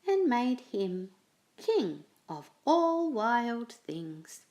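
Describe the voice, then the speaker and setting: A woman slowly reading a children's picture book aloud with an expressive, storytelling delivery. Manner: slow, expressive reading with lively pitch.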